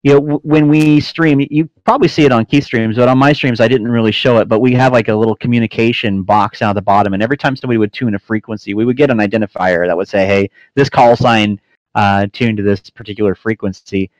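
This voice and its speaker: A man's voice: lowish voice, steady tone, fast, no room sound. A man talking as a podcast guest, explaining things casually and correcting himself as he goes, on slightly lo-fi audio. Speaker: podcast guest, flight-sim air traffic controller and trainer.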